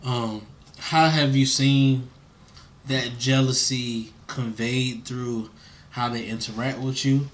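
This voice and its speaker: A man's voice: mid-range voice, steady tone, takes it slowly. An American man interviewing in a casual, laid-back conversational way, slangy and checking in with the listener.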